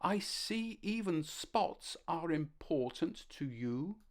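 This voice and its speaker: A woman's voice: on the low side, expressive, takes it slowly. Woman doing a kind, soothing ant doctor. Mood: reassuring. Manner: gentle, reassuring bedside manner. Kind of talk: storybook character voice.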